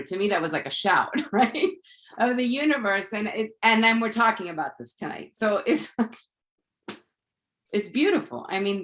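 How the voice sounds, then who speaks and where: A woman from Florida, moderating an online group, tells a personal story casually and acts out the dialogue as she goes. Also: medium pitch. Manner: animated retelling with acted-out dialogue. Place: online live video call.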